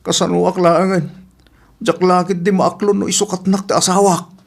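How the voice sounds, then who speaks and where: A man's voice: sits high, little pitch movement, moderate pace, gravelly. A man playing a hapless, pleading estranged husband in a radio comedy drama, insistent and whiny, with an Ilocano accent.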